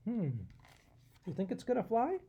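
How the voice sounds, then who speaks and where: A man's voice: extremely high pitch, very animated, normal speed, husky, lo-fi sound, voice pushed up, full character voice. A man voicing a kindly, concerned animal king in a children's storybook, questioning in a fatherly way. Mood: curious.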